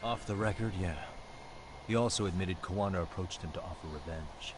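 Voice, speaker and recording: An actor playing a blunt, determined detective in a crime drama game, speaking firmly. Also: male voice, sits low, brisk, background noise, natural voice. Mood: matter-of-fact. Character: determined, hard-nosed male detective seeking the truth. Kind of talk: dramatic English game voice acting.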